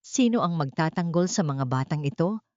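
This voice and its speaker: A woman's voice: deep voice, lively intonation, moderate pace, darkish tone. A woman narrating an audiobook drama in a solemn, melodramatic scripted style. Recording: dull lo-fi audio with room echo.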